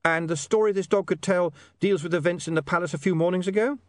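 A man voicing a dry, pedantic, quick-witted gentleman in an audiobook story, polite and precise. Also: sits high, dry recording, pitched above the performer's own voice, close to an everyday voice. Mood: sceptical, dry.

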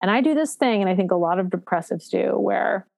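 A woman in her thirties telling her own story candidly, reflective and halting, with frequent fillers and restarts and a frank, self-deprecating edge. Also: mid-range voice, animated, vocal fry, a little mellow, no echo. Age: 30s.

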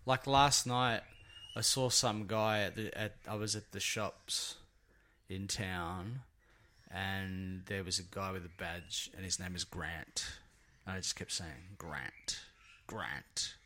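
A man with a hoarse, raspy voice hosting a casual podcast from a small home studio, rambling and mocking himself. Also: sits low, fairly even intonation, slow, sharp tone, a little hiss or hum. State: hoarse from singing. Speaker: podcast host.

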